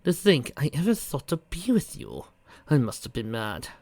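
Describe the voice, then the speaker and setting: A man voicing a cruel, arrogant male villain who talks in a slow, sneering, menacing way. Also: mid-range voice, huge pitch swings, darkish tone, no room sound, voice pushed up.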